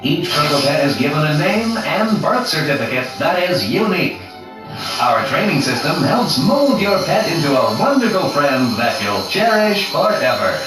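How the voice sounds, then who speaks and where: An actor playing a chipper male corporate pitchman in a promotional infomercial from a video game. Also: sing-song, normal speed. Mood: reassuring, salesy. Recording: echoey, distant promo audio with music.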